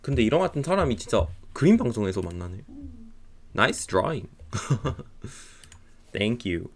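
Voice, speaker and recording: A man's voice: medium pitch, takes it slowly. A young man from Texas, a native English speaker with an American accent, chatting casually and rambling while he draws on a stream. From United States (Texas). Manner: relaxed, rambling, laughs often. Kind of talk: casual chat while drawing.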